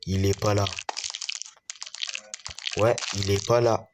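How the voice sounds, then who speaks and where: Male storyteller, low register, unhurried delivery, halting improv voicing of classroom characters. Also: level tone, background noise. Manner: halting, repetitive, restarts mid-phrase. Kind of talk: improvised homemade school story with dialogue. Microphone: screen-recorder capture.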